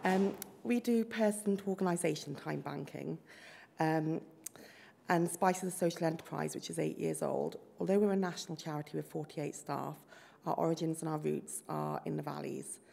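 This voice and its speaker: Croaky, gravelly woman with a low voice. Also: fairly even intonation, normal speed, warm tone. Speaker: conference speaker. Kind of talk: conference presentation. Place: conference room with a projector. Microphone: desk mic.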